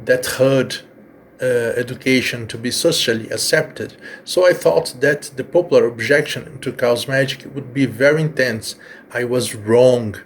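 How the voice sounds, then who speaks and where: Male host, Brazilian Portuguese accent, Portuguese native, earnest and halting; faint background noise. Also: mid-range voice. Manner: earnest, slightly halting with fillers. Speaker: podcast host. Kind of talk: earnest personal message.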